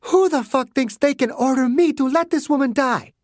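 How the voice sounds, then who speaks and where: A man voicing a small, fierce woman doctor who shouts, swears and accuses, in an audiobook. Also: extremely high pitch, wildly swooping pitch, a little fast, slightly rough, dry recording, studio quality, pitched above the performer's own voice, heavily acted. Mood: furious.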